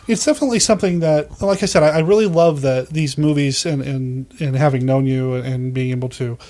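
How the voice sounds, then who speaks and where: A man's voice: mid-range voice, steady tone, a little fast, a little mellow. A man hosting a podcast, talking in a casual, conversational style. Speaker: podcast host. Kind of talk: solo show intro and casual interview chat.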